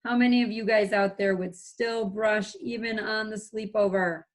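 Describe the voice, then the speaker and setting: A woman's voice: mid-range voice, steady tone, moderate pace. A woman reading a storybook to young children, adding sound effects and simple explanatory asides. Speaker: read-aloud presenter for young children.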